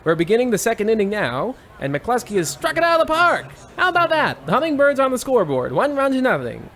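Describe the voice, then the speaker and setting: A man playing an excitable, folksy old-time radio baseball announcer with theatrical, exclamatory patter. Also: extremely high pitch, fast talker, exaggerated voice. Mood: excited. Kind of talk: 1940s radio sports commentary parody.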